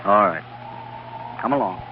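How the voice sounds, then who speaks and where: An actor playing an obsessed, driven young scientist in an old-time radio drama, with a distracted, halting, intense manner. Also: male voice, fairly low pitch, expressive. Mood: distracted, gentle. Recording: dull, noisy vintage radio recording.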